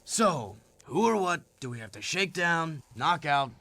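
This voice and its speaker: An actor playing a tough young action hero in a video game, blunt, surly and sardonic. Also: male voice, a little high, very animated, unhurried, pushed and bright, heavily acted. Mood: defiant, demanding. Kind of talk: video game cutscene acting.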